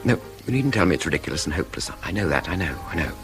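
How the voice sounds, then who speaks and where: An actor with an English accent playing a lovelorn, idle rich gentleman in a radio drama, earnest and halting as he implores. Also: low voice, big pitch swings, over music. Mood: desperate pleading. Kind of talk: British radio drama acting.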